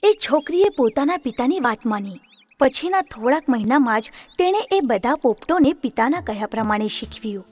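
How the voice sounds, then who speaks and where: A woman telling a children's story with a lively, expressive delivery. Her voice sits very high and swings widely up and down. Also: moderate pace. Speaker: narrator.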